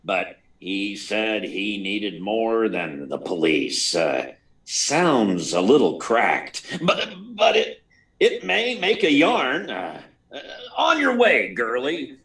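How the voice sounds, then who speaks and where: Man playing gruff harried editor, radio drama, creaky edge, unhurried pace, stammering, flustered, distant mic. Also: fairly low pitch, huge pitch swings, a bit bright, full character voice. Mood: gruff, hurried. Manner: stammering, flustered, clipped orders. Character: harried, gruff male newspaper editor in chief. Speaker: actor in a live radio play. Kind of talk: 1940s radio serial acting.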